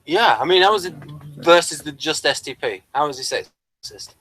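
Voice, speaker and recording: A man's voice: on the high side, lively intonation, normal speed, a bit bright. A man hosting a casual review discussion, speaking hesitantly and probing with a contrarian bent. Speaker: podcast host reviewing TV episodes.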